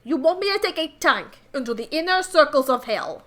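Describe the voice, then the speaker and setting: A woman's voice: high-pitched, sing-song, brisk, fairly bright tone. A woman voicing a haughty, villainous demon girl in a grandiose mock Russian-Scottish put-on accent. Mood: sarcastic. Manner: grandiose, theatrical.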